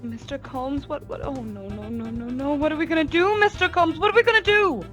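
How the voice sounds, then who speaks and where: A woman's voice: sits high, fairly quick, over music, natural voice. A woman playing a melodramatic, pleading widow, a femme fatale in a 1920s hard-boiled radio play. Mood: panicked. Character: breathless, frightened, dramatic young widow, a 1920s femme fatale.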